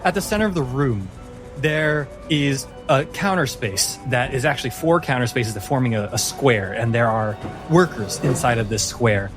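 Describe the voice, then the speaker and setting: A man's voice: mid-range voice, moderate pace. Male game master narrating over music. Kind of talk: descriptive tabletop game narration. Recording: music playing under the voice.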